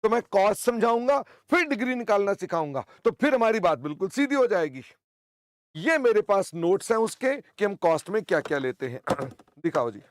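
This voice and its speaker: An older man teaching accounting in an online class, hoarse, chatty and teasing, often clearing his throat. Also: high-pitched, sing-song, moderate pace, no room sound.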